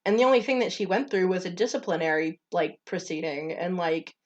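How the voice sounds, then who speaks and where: A woman's voice: fairly low pitch, steady tone, fairly quick, highs cut off. A young woman co-hosting a podcast in casual chat, blunt and swearing freely as she talks. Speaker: podcast co-host. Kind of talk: casual chat, reading stories aloud.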